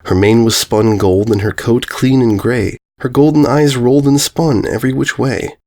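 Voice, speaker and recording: A man narrating a rhyming children's story in a measured, singsong cadence. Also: mid-range voice, steady tone, a little mellow. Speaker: story reader.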